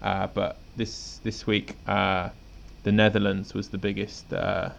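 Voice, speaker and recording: A man's voice: on the low side, level tone, a little slow, gravelly, a little hiss or hum. A man co-hosting a podcast in a laid-back, rambling casual chat, often chuckling. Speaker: podcast co-host.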